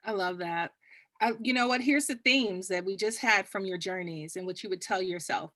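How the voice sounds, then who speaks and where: A woman's voice: mid-range voice, moderate pace, fairly bright tone, no echo. A woman with a Texas accent moderating a panel discussion, upbeat and cheering on her guests.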